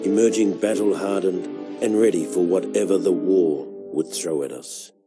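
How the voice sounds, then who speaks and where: An actor playing a world-weary veteran clone soldier, narrating his war memories in a measured, solemn way for a video game. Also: sits low, fairly even intonation, music in the background, natural voice. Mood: grim, matter-of-fact. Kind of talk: scripted narration, military memoir.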